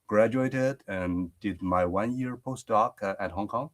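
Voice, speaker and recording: A man from China, whose first language is Chinese, introducing himself as an assistant professor at an online open day, speaking in measured phrases with frequent pauses over a video call. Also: lowish voice.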